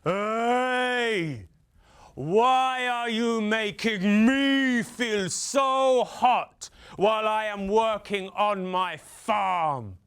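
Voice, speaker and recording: A man voicing an overheated, bossy farmer who complains gruffly and emphatically in a live folktale telling. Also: sits high, very slow, a bit bright, heavily acted. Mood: indignant.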